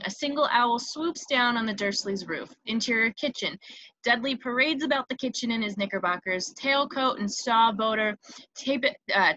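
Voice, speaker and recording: A woman's voice: on the high side, pushed and bright, no room sound, muffled recording. A woman reading screenplay stage directions as narrator, with small stumbles, on a noisy home recording with clicks.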